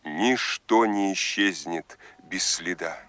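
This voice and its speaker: Slow, solemn voice from the future. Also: male voice, sits low, pushed and bright, no echo, full character voice. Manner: solemn, measured, declamatory. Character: voice from the future, grave, solemn, reverent man. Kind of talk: Soviet radio drama acting.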